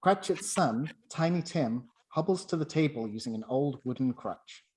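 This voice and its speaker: A man's voice: on the high side, lively intonation, normal speed, a little mellow. A man presenting a scripted talk and narrating a story.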